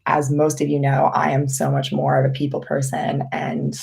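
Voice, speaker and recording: A young woman from Canada with a raspy voice, chatting casually on a live stream as she answers viewer questions, with many ums and restarts. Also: deep voice, completely flat delivery, moderate pace, darkish tone. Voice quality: raspy, gravelly voice. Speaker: vlogger hosting a live Q&A.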